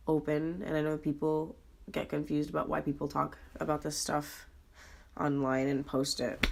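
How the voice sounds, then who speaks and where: Hoarse woman vlogging candidly. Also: low-pitched. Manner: earnest, confessional, with frequent ums and pauses. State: hoarse and sick. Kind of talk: candid personal vlog.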